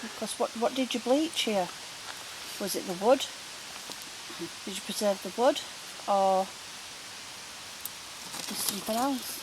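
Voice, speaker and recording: A woman's voice: fairly high pitch, a little slow, long pauses. A woman on an outdoor ghost hunt, asking spirits curious questions in a chatty manner. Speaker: paranormal investigator. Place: outdoors at a woodland waterfall site. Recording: hissy outdoor recording.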